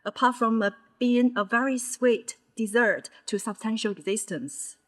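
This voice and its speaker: A woman in her thirties from mainland China, a Chinese speaker with a Chinese accent touched by Scouse, giving a conference talk in measured short phrases. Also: fairly high pitch. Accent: Chinese with a Liverpool (Scouse) tinge. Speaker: conference presenter. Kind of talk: personal storytelling talk.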